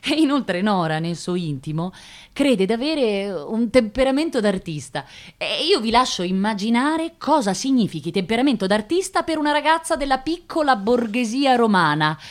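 A woman's voice: fairly high pitch, very animated, normal speed. An actress playing a wry, condescending film assistant director who narrates a radio drama, hesitating as she searches for words. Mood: wry, confiding.

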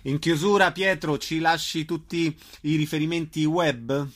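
A man's voice: fairly high pitch, steady tone, a little slow, fairly bright tone. A man working as an interviewer, putting brief questions to his guest.

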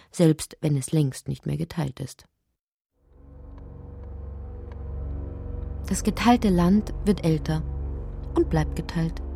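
A woman narrating a poetic, absurd literary radio drama in deliberate, measured phrases. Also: lowish voice, dark tone. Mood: musing.

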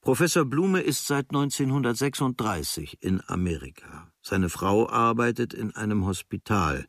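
A man playing an observant writer, reading his travel diary as a radio drama in measured, clipped literary phrases. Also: fairly low pitch, expressive, fairly bright tone, dry recording, clean studio sound. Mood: measured, reflective.

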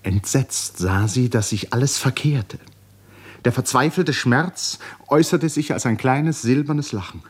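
A man narrating a literary 1950s radio play with dramatic, deliberate phrasing that often falls to hushed endings. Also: medium pitch. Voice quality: breathy, husky.

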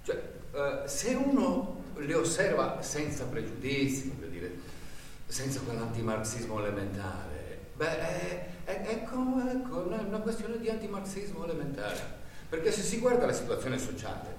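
A man's voice: on the high side, wildly swooping pitch, moderate pace, a little mellow. An actor playing a rambling, earnest working-class man in a stage monologue, stammering, repeating himself and searching for words. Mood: earnest, groping. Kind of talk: theatrical stage monologue in a radio broadcast. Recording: very echoey stage, distant mic, faint noise.

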